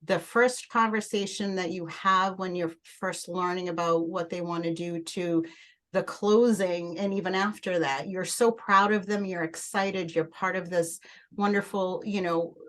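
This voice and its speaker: A middle-aged woman with a Portuguese accent, a mortgage loan originator introducing herself on a podcast in an earnest way, with many halting restarts. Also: on the low side.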